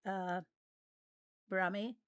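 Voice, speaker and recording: A woman with a raspy voice, chatting casually with viewers while she plays a farming game. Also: medium pitch, fairly even intonation, dry recording. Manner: unhurried, chatty, reads out and answers viewer messages. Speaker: game livestreamer. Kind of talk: casual livestream chat while playing.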